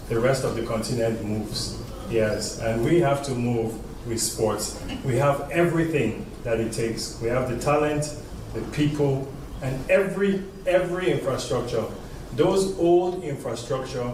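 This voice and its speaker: A man's voice: mid-range voice, a little mellow. A man from Nigeria, earnestly and deferentially addressing a leader at a meeting, often affirming with "yes". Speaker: diaspora businessman addressing the president. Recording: distant echoey room recording with background noise.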